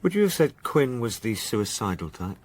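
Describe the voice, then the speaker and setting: Low-voiced police sergeant. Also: male voice, very animated, moderate pace, husky. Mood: firm. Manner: plain-spoken, probing. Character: blunt, steady police sergeant questioning witnesses. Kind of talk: British radio drama acting.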